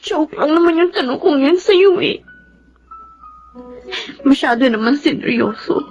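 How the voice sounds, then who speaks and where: A woman's voice: sits high, lively intonation, a little slow, darkish tone, lo-fi sound. An actress playing a grief-stricken young woman in a Tagalog radio drama, emotional and fierce, refusing to accept a loss. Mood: tearful grief. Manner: emotional, tearful, pleading and accusing. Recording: dramatic music bed behind.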